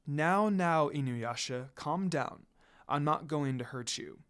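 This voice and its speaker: A man's voice: a little high, slow pace, fairly bright tone, smooth. A man voicing a cold, hateful undead priestess in a fan fiction reading, with a stern, solemn manner. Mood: cool, calming.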